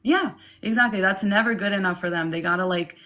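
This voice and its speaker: A young woman from Ukraine, a guest on a casual podcast chat, speaking candidly and in an animated way. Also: medium pitch, brisk. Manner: candid and animated, mocks others in quoted asides. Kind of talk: casual conversation.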